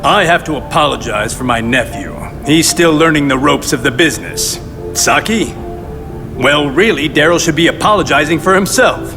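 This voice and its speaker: An actor playing a glib, self-important crime boss in a video game cutscene, talking with pompous mock courtesy. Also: male voice, fairly high pitch, normal speed, lightly acted. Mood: smoothly bargaining. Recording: long reverb with loud music behind. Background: music.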